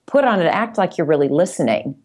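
A woman with a low-pitched voice talks casually as a guest on a podcast. She speaks haltingly, often doubling back on her words as she thinks. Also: expressive, a little mellow. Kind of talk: casual conversation.

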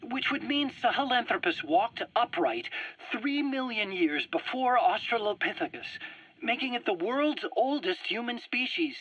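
Anxious, proud game scientist: animated, husky delivery, defensive explanations, muffled dry recording. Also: male voice, extremely high pitch, unhurried, no echo, heavily acted. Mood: proud lecturing. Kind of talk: video game voice acting, interrogation dialogue. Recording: dull, dry radio-like cassette recording.

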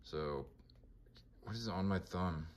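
A man with a monotone voice casually talking through his card collection, mumbling names as he flips through binders. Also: low-pitched, takes it slowly, a little hiss or hum. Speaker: collector vlogger.

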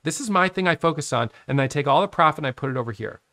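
A man in his late thirties giving a casual whiteboard lesson on trading from his home office, talking with his hands into a boom-arm studio mic. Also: racing, no room sound. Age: 30s. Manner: animated, talks with his hands, drawing on a whiteboard. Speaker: trading educator. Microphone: studio microphone on a boom arm.

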